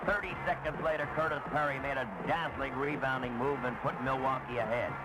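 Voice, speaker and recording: A man delivering scripted, dramatic highlight-film narration of a basketball game in measured, punchy phrases. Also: steady tone, loud music behind the voice, poor audio.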